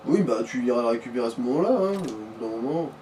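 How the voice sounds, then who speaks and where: A man's voice: medium pitch, lively intonation, fast. A man casually bantering as a let's play co-commentator, joking and swearing informally. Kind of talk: casual gaming chat. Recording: distant mic with background noise.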